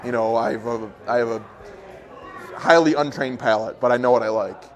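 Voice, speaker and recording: A man, a journalist, speaking in a relaxed, self-deprecating way in a documentary interview. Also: mid-range voice, normal speed.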